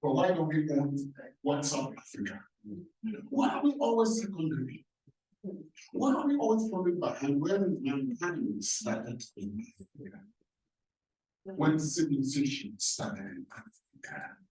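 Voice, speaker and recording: A man's voice: on the high side, very animated, a little slow, warm tone, far from the mic. Impassioned man in an echoey hall. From Cameroon. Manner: impassioned, emphatic rhetorical questions. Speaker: professor on a panel. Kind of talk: panel self-introduction.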